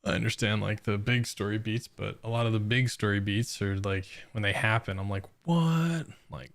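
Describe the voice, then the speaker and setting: A man livestreaming a game, reacting casually and thinking aloud in a rambling, halting way. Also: lowish voice. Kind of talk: casual live reaction and chat.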